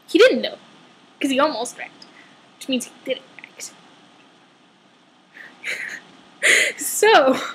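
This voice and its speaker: A woman's voice: very high voice, sharp tone, low-quality recording. A woman telling a personal story casually, dramatically acting out dialogue with a sarcastic edge.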